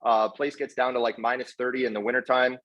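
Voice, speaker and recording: Male, mid-pitched, casual motivational speaker, earnest and slangy, lo-fi dull recording. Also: level tone, fast talker, a bit bright. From Canada, partly raised in South Africa. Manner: earnest, effusively grateful, slangy bro-talk with repeated words. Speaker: guest speaker, network marketer. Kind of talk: informal motivational testimonial. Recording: dull, lo-fi online call audio.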